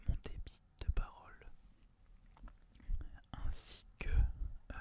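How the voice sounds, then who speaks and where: A young man whispers softly in an ASMR style, with no voice behind the breath. He takes his time over each phrase, deliberate and soothing, as he addresses his viewers directly. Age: young adult. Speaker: ASMR video host. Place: small student apartment, indoors.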